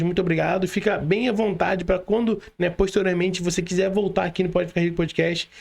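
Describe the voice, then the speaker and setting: A man's voice: high voice, vocal fry, a little mellow. A Brazilian man hosting an online interview in informal, slangy speech, often adding little tag questions. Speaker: podcast host.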